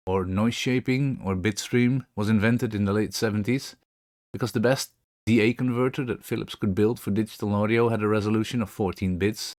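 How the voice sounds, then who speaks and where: Male presenter, lowish voice, dry close sound. Measured, informal technical explainer. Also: no room sound.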